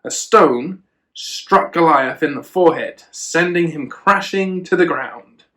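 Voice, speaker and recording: A man's voice: a little high, slow pace, fairly bright tone. A man reading a children's storybook aloud, with chatty asides to a child. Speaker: children and youth worker, storyteller.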